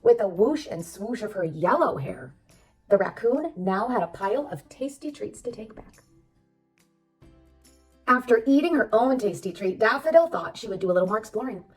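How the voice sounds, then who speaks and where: A woman reading a children's storybook aloud as narrator, expressive and addressing young listeners. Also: medium pitch, normal speed, distant mic, poor audio. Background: background music.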